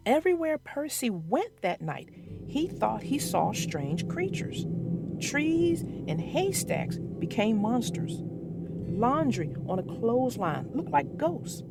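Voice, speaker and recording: A woman reads a children's story aloud, slow and careful, with a lively, swooping voice. Her pitch sits fairly high. Faint guitar music plays behind her. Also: vocal fry. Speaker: storybook narrator.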